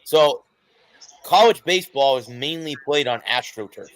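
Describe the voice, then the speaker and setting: A man's voice: medium pitch, expressive, unhurried, no echo, poor audio. Rambling male sports co-host, dry sound. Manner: rambling, self-correcting explanations. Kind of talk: casual sports chat.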